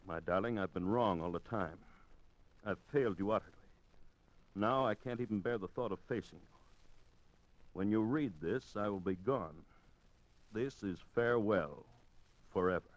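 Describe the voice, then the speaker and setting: An actor playing a slick, scheming ex-convict husband with false tenderness, in old-time radio suspense style. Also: male voice, on the low side, a little slow, pauses often, close to an everyday voice. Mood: feigned grief. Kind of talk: 1950s radio suspense acting. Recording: muffled old radio recording.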